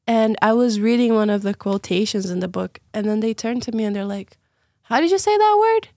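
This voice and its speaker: A woman's voice: fairly high pitch, expressive, fairly quick, darkish tone, no room sound, clean studio sound. A young woman from Brazil with a Brazilian Portuguese accent, chatting as a podcast guest, with lots of fillers and laughter. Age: young adult. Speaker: guest, former student. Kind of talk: casual podcast chat.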